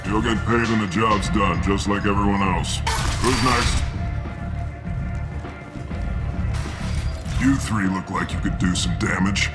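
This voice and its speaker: Man acting a very deep-voiced, brusque mercenary. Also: moderate pace, lightly acted. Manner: gruff, matter-of-fact. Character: hard-bitten, brusque male mercenary. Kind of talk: video game voice acting. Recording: music behind.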